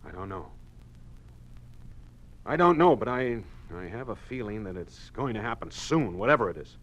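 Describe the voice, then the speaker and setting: An actor playing a distraught middle-aged American husband and plant manager in a 1950s radio drama, agitated and halting. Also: male voice, on the low side, lively intonation, natural voice, low-quality recording. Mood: agitated, grave. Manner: halting, agitated delivery, repeating words.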